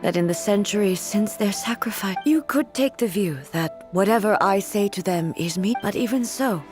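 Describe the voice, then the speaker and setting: A woman acts out an immortal game empress, solemn and reserved. Her medium-pitched voice is measured and formal, with a resolute gravity, and music plays clearly behind it. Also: animated, natural voice. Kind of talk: video game voice acting.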